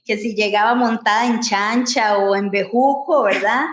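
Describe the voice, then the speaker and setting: A Costa Rican woman hosting a podcast, speaking in a lively, upbeat way straight to her listeners. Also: medium pitch, normal speed. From Costa Rica. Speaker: podcast host. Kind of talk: casual podcast chat. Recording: roomy echo.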